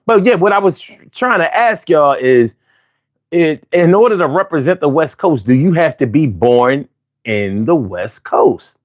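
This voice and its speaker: Animated, argumentative man from Philly. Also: on the high side, a little slow. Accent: Philadelphia. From Philadelphia. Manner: animated, argumentative, slangy, restarts often. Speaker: host. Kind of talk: casual opinionated monologue.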